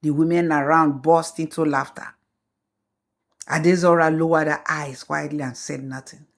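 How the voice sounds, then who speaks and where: A woman telling a folktale as scripted storybook narration, in a slow, measured way. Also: deep voice, fairly even intonation.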